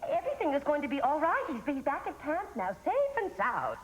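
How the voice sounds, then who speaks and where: An actress playing a playful, scheming secretary in a television soap opera, talking in halting, theatrical patter. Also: female voice, fairly low pitch, animated, a little hiss or hum, natural voice. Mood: flustered, cheery. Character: scheming, playful woman, a secretary improvising phone ruses.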